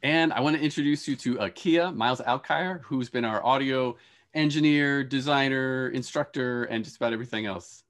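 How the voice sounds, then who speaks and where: A man's voice: medium pitch, moderate pace. A man in his forties moderating a panel over a video call, speaking in hesitant phrases with repeated words. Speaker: host and moderator, professor. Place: indoor room with shelves, video call.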